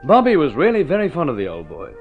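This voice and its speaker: A man's voice: fairly high pitch, huge pitch swings, fast, gravelly. A man narrating a story in vintage radio drama style, with an urbane, wry, theatrical manner. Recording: dull old radio recording with music.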